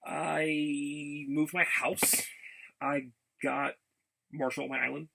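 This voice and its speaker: A man giving a casual, rambling vlog update in a gravelly voice, haltingly and often repeating his phrases. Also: on the high side, little pitch movement, noisy background. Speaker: video blogger.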